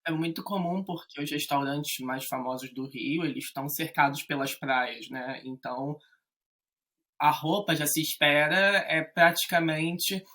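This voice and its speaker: A man from Rio de Janeiro with a Carioca accent, chatting as a podcast guest in a relaxed, rambling way with frequent fillers. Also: a little high. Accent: Carioca Brazilian Portuguese. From Rio de Janeiro, Brazil. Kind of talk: casual conversation.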